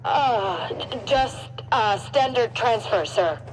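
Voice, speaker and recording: A woman's voice: a little high, lively intonation, slow, a little hiss or hum, low-quality recording. A woman playing a brazen young rogue who bluffs as a trooper in a cinematic game, casual and cocky. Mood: nervous bluffing.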